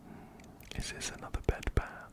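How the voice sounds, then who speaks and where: Close-up whispering man. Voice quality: soft, breathy whispering. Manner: unhurried, repeats words and phrases. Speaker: ASMR gaming video host. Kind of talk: ASMR let's play commentary. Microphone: close-up binaural microphone, ear to ear.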